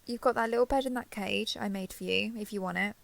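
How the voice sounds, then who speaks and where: A young woman playing a caring giant dragon girl in an intimate audio roleplay, speaking to the listener in a gentle, reassuring, slightly hesitant way. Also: mid-range voice, steady tone, fast, vocal fry, no echo, close to an everyday voice. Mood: tender, caring.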